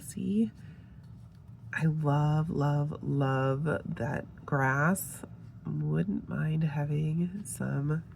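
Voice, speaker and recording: A woman getting over a head cold, sounding a little nasal, casually showing off a sticker kit with relaxed, gushing asides. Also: deep voice, vocal fry, slight background noise. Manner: relaxed, gushing, with fillers and soft trailing asides. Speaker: planner sticker reviewer. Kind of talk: casual show-and-tell narration.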